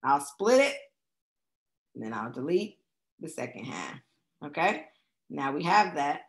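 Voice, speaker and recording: A woman with a slightly raspy voice, casually talking through a tutorial step by step and often checking in with "okay?". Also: low-pitched, sing-song, measured pace. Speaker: travel agent teaching video making. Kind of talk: screen-recorded tutorial walkthrough.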